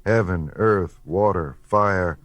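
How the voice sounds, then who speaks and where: A man reading spiritual poetry in a contemplative, measured and deliberate way, with pauses between phrases. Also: low-pitched, no room sound. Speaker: poetry reader. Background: soft background music.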